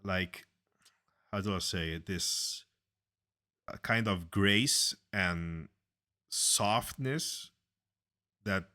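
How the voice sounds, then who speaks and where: A man with a deep voice chatting casually as a podcast co-host, loud and opinionated, often trailing into fillers and restarting sentences. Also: drawn-out words, long pauses. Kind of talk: casual conversation.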